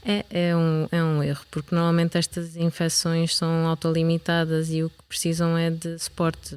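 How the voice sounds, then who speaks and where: Young woman, European Portuguese accent, low flat voice, careful, hesitant explanations. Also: smooth, no room sound. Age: young adult. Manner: measured, with hesitations and repeated words. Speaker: doctor guest. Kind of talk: explanatory interview answers.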